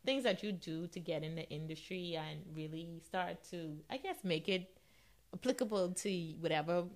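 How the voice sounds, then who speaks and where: A woman's voice: fairly low pitch, moderate pace. A woman hosting a radio interview in a casual, conversational way, often murmuring agreement as she listens. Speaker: radio show host interviewing a guest.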